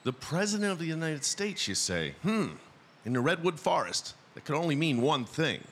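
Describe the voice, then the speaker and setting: A man's voice: a little high, big pitch swings, moderate pace, a bit bright, a little hiss or hum. A man hosting a podcast and narrating a scripted first-person story in a dramatic storytelling manner.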